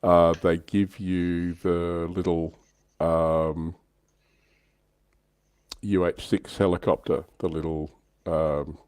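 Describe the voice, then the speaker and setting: A man's voice: deep voice, little pitch movement, slow pace, pauses often, darkish tone, clean tone, no echo. A man with an Australian accent hosting a livestream in a casual hobby discussion. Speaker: livestream host.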